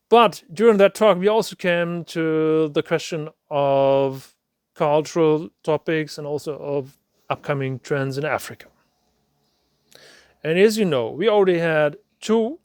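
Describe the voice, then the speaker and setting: A man's voice: on the high side, smooth, dry recording, studio quality. A man hosting an online interview, talking hesitantly with many fillers and restarts. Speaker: host.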